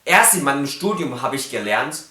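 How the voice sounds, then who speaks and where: A man's voice: medium pitch, big pitch swings, moderate pace, sharp tone, reverberant. A young man whose first language is Cantonese, speaking with a Cantonese accent as he explains phonetics in a casual vlog and carefully demonstrates sounds.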